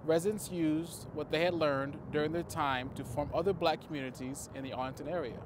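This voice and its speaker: A man in his thirties reading a scripted history presentation to camera, measured and formal, recorded on a lapel mic outdoors in a cemetery. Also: a little high, fairly even intonation, background noise.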